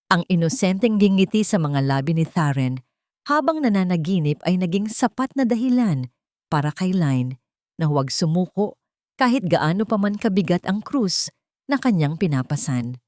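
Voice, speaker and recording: A woman's voice: on the low side, very animated, normal speed, a little mellow, no room sound, clean studio sound. A woman narrating a dramatic scripted story in a solemn, melodramatic manner.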